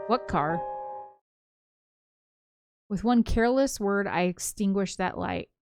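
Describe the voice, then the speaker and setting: A woman's voice: mid-range voice, close to an everyday voice. A woman reading aloud as an anxious, introspective young ghost woman, hesitant and reflective, in visual novel narration. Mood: wistful.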